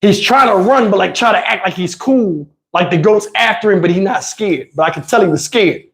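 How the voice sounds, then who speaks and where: A man telling personal stories in a casual, animated, slangy way, swearing freely and acting out what people said. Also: high voice, a little fast. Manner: acts out dialogue and sound effects. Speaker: storyteller host. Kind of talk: animated casual personal storytelling with acted quotes.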